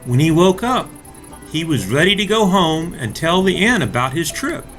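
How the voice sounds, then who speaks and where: Man reading a children's storybook, lively pitch swings. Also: faint music behind. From North Carolina, United States. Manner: deliberate, expressive, addressing young listeners directly. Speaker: storybook reader for children, school resource officer. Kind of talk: storybook read-aloud for young children.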